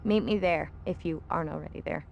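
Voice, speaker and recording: Young game love interest: lowish, natural, slightly impatient, faint noise behind. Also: female voice, a little fast, gravelly, darkish tone, dull audio. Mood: mildly impatient. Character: mildly impatient young girlfriend. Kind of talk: video game cutscene acting.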